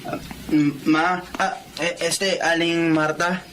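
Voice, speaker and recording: An actor playing a hesitant, respectful young Filipino son-in-law who is eager to please, in a radio drama. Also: male voice, fairly high pitch, little pitch movement, slow, noisy background. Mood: meek, polite.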